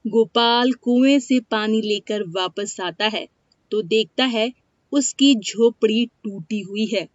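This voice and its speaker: A woman's voice: on the high side, slow pace, dry recording. A woman reading a scripted moral story as its narrator. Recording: dry, dull lo-fi studio sound.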